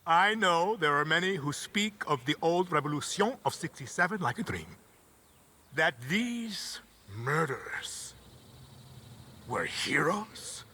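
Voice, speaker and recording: An actor playing a middle-aged dictator with a Latin American Spanish accent, a menacing, grandiose orator who drops to whispers in a video game. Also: male voice, fairly high pitch, very animated, sharp tone, slightly rough, heavily acted. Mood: solemn, menacing. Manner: slow dramatic oratory dropping to whispers.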